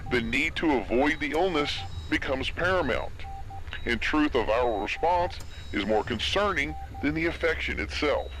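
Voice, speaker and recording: A man playing a formal, serious radio news announcer reading a measured emergency bulletin in an apocalypse audio drama. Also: a little high, lively intonation, fairly bright tone. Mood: sober, formal. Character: sober male radio news announcer during zombie outbreak. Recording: noisy radio broadcast with background ambience.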